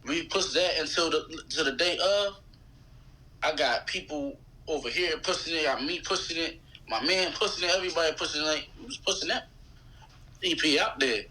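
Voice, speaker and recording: Bright, forceful American man in casual chat. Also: a little high, moderate pace, poor audio. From United States. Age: 30s. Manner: slangy, conversational. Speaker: guest, rapper.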